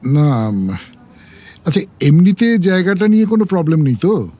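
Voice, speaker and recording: An actor playing an uneasy, hesitant newlywed husband in a horror audio drama. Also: male voice, high voice, very animated, moderate pace, mellow. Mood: hesitant, polite. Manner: hesitant, trailing off.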